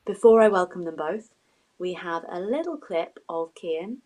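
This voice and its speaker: A woman's voice: on the low side, lively intonation, normal speed, a little mellow, rough recording. A woman, hosting an online arts interview over a video call. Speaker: host and interviewer.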